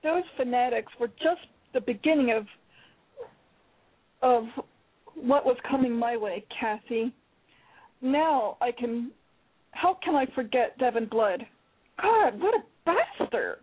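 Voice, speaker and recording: A woman's voice: on the high side, animated, slow pace, long pauses. A woman playing a young female student outcast with a bitter, defiant manner. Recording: tinny phone-line radio.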